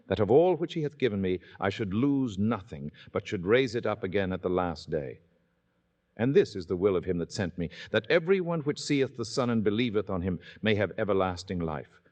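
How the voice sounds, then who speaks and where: A man's voice: low-pitched, darkish tone, muffled recording. A man reading scripture aloud in a solemn, declamatory manner, in the dramatic style of old-time radio. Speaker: scripture reader.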